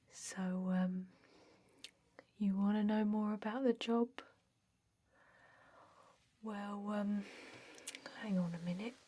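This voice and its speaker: Casting: actress for a bored female energy vampire clerk, very slow, soft and mellow, often whispered, halting deadpan delivery, ASMR roleplay. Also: medium pitch. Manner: halting, monotone, hesitant, deadpan.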